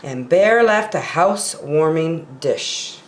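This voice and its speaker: A woman reads a picture book to small children at an unhurried pace. Her voice sits a little low and swings widely in expression. The room adds a clear echo. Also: lively intonation, slight background noise. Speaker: storyteller reading picture books. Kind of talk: expressive read-aloud for young children. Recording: roomy home recording with echo.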